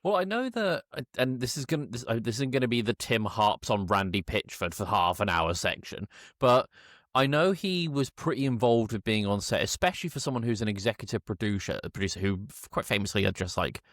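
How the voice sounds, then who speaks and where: A man's voice: fairly low pitch, animated, a little fast, a bit bright, no room sound, studio quality. A British man chatting casually as a podcast co-host, wry and blunt, hedging as he goes. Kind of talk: casual chat.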